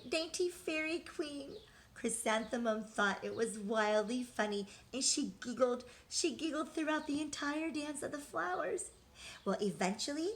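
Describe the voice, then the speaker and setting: Higher-pitched woman teaching young children. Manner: child-directed, asks questions and answers them; encouraging, reassuring. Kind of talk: interactive children's read-aloud and lesson.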